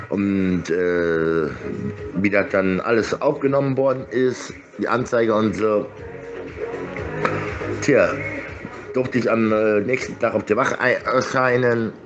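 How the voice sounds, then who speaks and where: A middle-aged man rambling casually in halting, fragmented sentences, repeating words, recorded on a phone held close to his face. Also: fairly low pitch.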